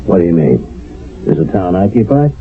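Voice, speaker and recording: A man playing a harried, hesitant army captain in a radio drama, halting as he weighs his orders. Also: deep voice, very animated, soft background music. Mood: resigned, puzzled. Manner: halting, deliberating, authoritative. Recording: dull, narrow old radio recording.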